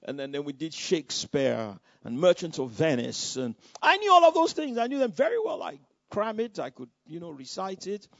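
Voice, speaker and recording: A Nigerian man preaches a testimony, his voice sitting a bit high and sounding dry and close. He tells the story in an animated way, repeating words and phrases as he goes. The sound is dull and lo-fi. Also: moderate pace, creaky, hardly any pauses, dry recording. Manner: animated storytelling with repetitions and laughter. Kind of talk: sermon testimony.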